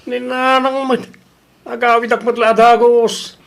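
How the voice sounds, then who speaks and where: A man's voice: very high-pitched, flat delivery, unhurried, gravelly, exaggerated voice. A man plays a hard-drinking husband in an audio drama. He is brash and stubborn, talking back defiantly to anyone who questions him. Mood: offhand, evasive. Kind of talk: Ilocano audio drama dialogue.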